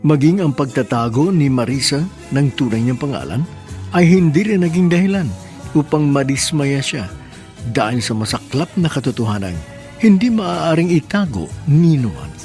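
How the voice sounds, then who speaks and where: A man's voice: fairly high pitch, sing-song, normal speed, darkish tone. A man with a Filipino accent hosting and narrating a radio drama in a formal, sentimental, scripted style. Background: faint music.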